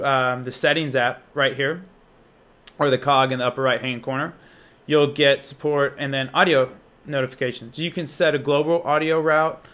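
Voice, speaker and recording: A man's voice: fairly high pitch, a bit bright. A young American man giving a casual, unscripted app walkthrough in an informal, slangy, enthusiastic manner. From Indiana, United States. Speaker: app reviewer and demonstrator.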